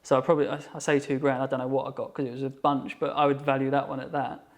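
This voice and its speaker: A man in his thirties talks quickly, on a narrow, level pitch. He rambles in a relaxed guest chat and leans heavily on fillers, pressing ahead fast with one thought after another. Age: 30s. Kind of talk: casual chat.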